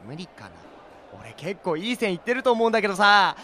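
A man playing a chatty, cheeky, lovestruck high school boy in a youth comedy audio drama. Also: fairly quick, full character voice. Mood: hopeful. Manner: animated, casual slangy talk. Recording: noisy ambience behind.

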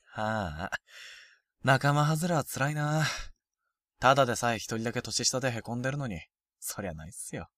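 An actor playing a cocky, flippant younger high-school boy who hides some hurt, in a youth romantic-comedy voice drama. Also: lively intonation, moderate pace, fairly bright tone, dry recording, clean studio sound, lightly acted. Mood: wistful. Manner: flippant, teasing, casual junior-to-senior speech.

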